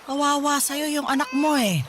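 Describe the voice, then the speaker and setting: A woman's voice: high voice, fairly even intonation, moderate pace, background noise, close to an everyday voice. A woman playing a caring but scolding old grandmother in a Filipino radio drama, speaking with reproachful, pleading emphasis. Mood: chiding.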